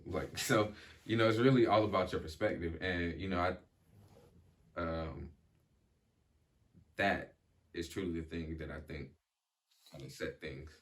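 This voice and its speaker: A young man with a low, deep voice talks through his thoughts slowly in a casual chat. He fills his sentences with ums and restarts, and now and then catches on a stutter. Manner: reflective, many fillers and restarts, occasional stutter. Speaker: podcast guest.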